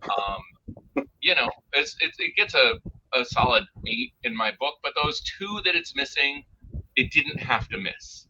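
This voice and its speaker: Guy with a really bright edgy voice chatting through a review, bit muffled, some hiss. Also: medium pitch, expressive, measured pace. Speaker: podcast guest reviewer. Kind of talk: casual review discussion.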